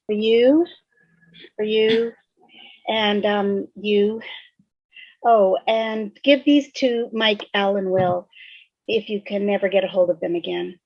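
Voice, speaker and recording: A woman's voice: medium pitch, slow, gravelly, pauses often, no echo. A woman playing a sardonic, stubborn teenage girl, blunt and resigned to doom, in a fan table read over a dull-sounding video call. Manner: blunt, sarcastic.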